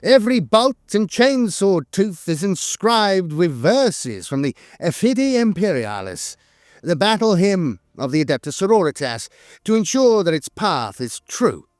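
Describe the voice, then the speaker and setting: A man's voice: high-pitched, very animated, a little slow, no echo, clean studio sound. A man narrating scripted lore in a solemn, formal and dramatic way.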